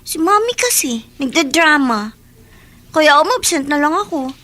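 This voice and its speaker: A woman's voice: high-pitched, sing-song, unhurried, pushed and bright, full character voice. An actress in a Filipino radio drama playing an affectionate, repentant schoolgirl in an earnest, conversational way. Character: repentant schoolgirl younger sister, once snooty, now affectionate.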